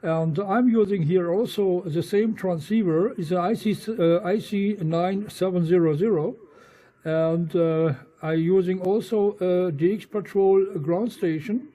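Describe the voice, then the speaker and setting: A man with a Dutch accent making a ham radio contact in halting English, spelling things out with the phonetic alphabet. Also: high voice, dark tone. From eastern Netherlands. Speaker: amateur radio operator.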